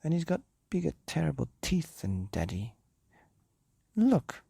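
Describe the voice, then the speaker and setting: A man voicing the Gruffalo's child, a small, anxious young monster boy who talks eagerly and fretfully. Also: medium pitch, sing-song, moderate pace, warm tone, dry recording, clean studio sound, pitched above the performer's own voice, heavily acted. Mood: worried.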